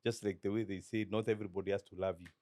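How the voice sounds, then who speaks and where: Kenyan guy, kenyan accent, low dark voice, dry close sound, calm and thoughtful. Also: dry recording. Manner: measured, reflective, mixes in Swahili. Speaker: guest, business owner. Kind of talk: relaxed interview talk.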